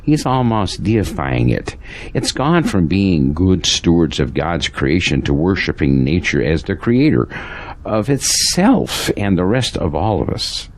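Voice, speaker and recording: Devout, troubled pastor for audio drama male actor: low voice, animated delivery, earnest and preachy, slight noise on the recording. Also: a little slow, full character voice. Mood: grave, disapproving.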